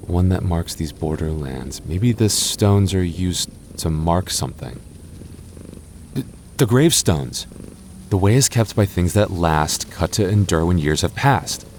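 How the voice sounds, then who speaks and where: A soft-spoken young goth boyfriend, as a man plays him, deep and unhurried, who stammers a little while he reassures. The ASMR roleplay has a faint hiss under it. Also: expressive. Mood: puzzling. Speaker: voice actor.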